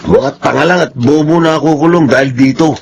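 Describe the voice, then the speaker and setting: A cocky Filipino street bully in a TV drama. His voice sits fairly high for a man and carries boastful menace. The recording has faint background noise. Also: brisk, gravelly, lo-fi sound. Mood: angry, threatening. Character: cocky, menacing married neighborhood thug. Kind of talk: Filipino television drama acting.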